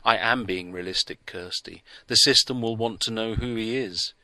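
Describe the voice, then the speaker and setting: A man's voice: on the low side, normal speed, pushed and bright, no room sound. A man reading the part of a blunt, insistent male hostel worker who presses with clipped questions. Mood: stern.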